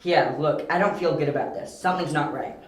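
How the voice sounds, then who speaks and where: A man playing a clever, determined young detective in an amateur audio drama, wry and quick-witted. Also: fairly high pitch, close to an everyday voice. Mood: uneasy. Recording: echoey room, amateur home recording.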